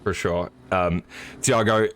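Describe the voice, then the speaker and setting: A middle-aged man commentating a sim race on a live stream, slightly hoarse, relaxed and joking with many fillers. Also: low voice, slow pace.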